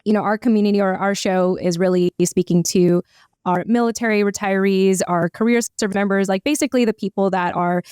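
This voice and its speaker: A woman hosting a podcast, asking questions in a warm, affirming way with many fillers. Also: medium pitch, fairly even intonation, a little fast, clean tone, dry recording, clean studio sound. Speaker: podcast host. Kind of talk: casual interview chat.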